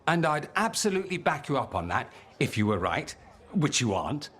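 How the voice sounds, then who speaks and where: A man's voice: on the high side, sing-song, a little fast, fairly bright tone. A man playing a brash, eloquent rebel mage in a fantasy game, theatrical, articulate and arch. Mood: sardonic, insistent. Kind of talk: dramatic fantasy game acting. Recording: music under the voice.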